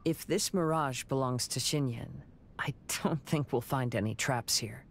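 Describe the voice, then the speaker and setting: Calm young wanderer, creaky edge. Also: male voice, medium pitch, measured pace, slight background noise. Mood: composed. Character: calm, gentle, reflective young male wanderer. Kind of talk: video game voice acting.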